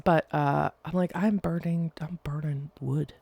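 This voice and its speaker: Congested, chatty podcast host with gravelly fry. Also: mid-range voice, fairly even intonation, unhurried, dark tone, dry recording. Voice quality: nasal. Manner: chatty, rambling, with playful asides. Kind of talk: casual solo podcast talk.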